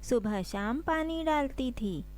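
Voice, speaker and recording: A woman's voice: sits high, lively intonation, takes it slowly. A woman reading scripted narration for a children's cartoon story.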